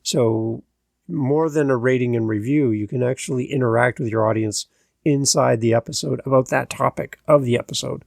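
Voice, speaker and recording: A man's voice: medium pitch, normal speed, vocal fry, a little mellow, no room sound. A man hosting a podcast and coaching podcasters, talking casually and chattily with frequent fillers.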